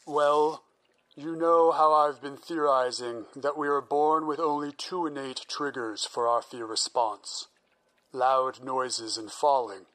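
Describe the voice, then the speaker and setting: A man's voice: medium pitch, fairly even intonation, slow pace, a bit bright, rough recording. A man acting a thoughtful, obsessive doctor explaining his ideas in an old-time radio drama. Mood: musing. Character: obsessed male doctor musing on experiments.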